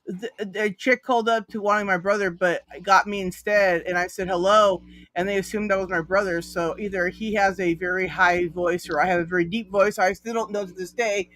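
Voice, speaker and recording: A man's voice: high voice, fairly even intonation, a little fast, sharp tone, no room sound. Rambling, laughing man host, dry sound. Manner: rambling, laughs often, loses his thread. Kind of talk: casual live-stream chat.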